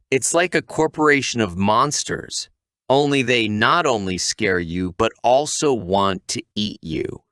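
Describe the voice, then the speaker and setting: A man's voice: medium pitch, fairly bright tone, dry recording, clean studio sound. A man narrating a scripted story recap as a channel host, with playful asides and questions to the viewer.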